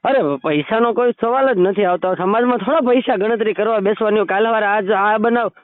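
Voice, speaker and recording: Man, high voice, casual rapid phone talk, dry muffled line. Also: no room sound. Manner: repeatedly seeks agreement with tag questions. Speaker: caller. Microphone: phone call. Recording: dull, lo-fi phone call recording.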